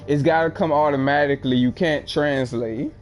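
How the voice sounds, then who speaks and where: A man's voice: on the high side, fairly even intonation, normal speed, soft background music. A man chatting casually with viewers while streaming a game, rambling with many "like" fillers. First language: English. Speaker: livestreamer. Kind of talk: casual chat while gaming.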